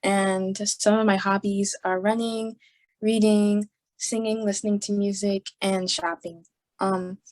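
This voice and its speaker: A woman's voice: mid-range voice, a little mellow. A young woman from New York giving a prepared student presentation in a composed, scripted way over a video call. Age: young adult.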